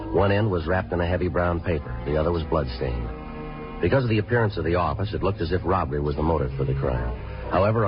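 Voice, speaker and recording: An actor playing a terse, businesslike police detective, clipped and deadpan, in a 1950s radio police procedural. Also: male voice, deep voice. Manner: clipped, deadpan, matter-of-fact. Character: terse, businesslike police detective, narrating his own case. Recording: muffled old radio broadcast recording.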